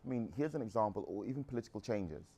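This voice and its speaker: A man's voice: fairly low pitch, expressive, fast, warm tone, breathy edge, lo-fi sound. A man in his thirties from England, a guest entrepreneur answering audience questions in a frank, rambling way with restarts. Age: 30s.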